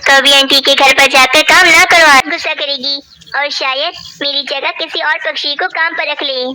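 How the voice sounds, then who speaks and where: Young bird son for cartoon, woman performing: high, bright, earnest, pleading. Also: fairly even intonation, moderate pace. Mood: worried. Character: young bird child, caring and worried son. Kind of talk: Hindi cartoon voice acting.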